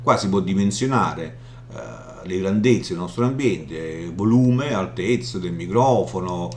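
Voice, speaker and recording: A man giving an informal software walkthrough, explaining haltingly and often checking in as he goes. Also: sits low, expressive. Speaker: software tutorial presenter. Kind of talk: informal screen demo walkthrough. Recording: roomy echo, audible background hum noise.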